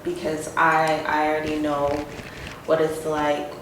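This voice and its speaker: Woman podcast host, low and flat, affirming tone, very roomy recording with audible noise. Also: measured pace. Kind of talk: casual interview. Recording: very echoey room with background noise.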